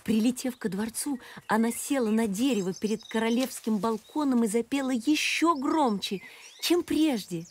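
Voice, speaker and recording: A woman reading a fairy tale as an expressive storybook narrator. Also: on the high side, normal speed. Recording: music behind the voice.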